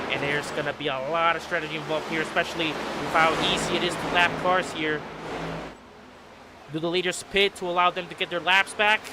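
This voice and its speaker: Man, stuffy from a cold, excitable play-by-play caller; high voice; loud engine noise behind. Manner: excitable, rises in pitch at restarts and wrecks. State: stuffy, a little sick. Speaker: race commentator. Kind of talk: sim racing play-by-play commentary.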